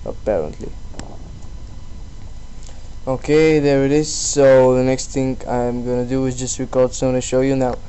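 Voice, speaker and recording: A man presenting a casual screen-recorded software tutorial in a halting, self-deprecating way. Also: mid-range voice, clear, resonant tone, low-quality recording. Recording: steady background noise on voice-over.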